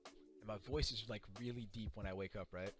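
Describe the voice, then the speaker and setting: A man's voice: lowish voice, steady tone, fairly quick, over music. A man telling a story in a laid-back, rambling way, full of fillers. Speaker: esports player. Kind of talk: casual interview storytelling.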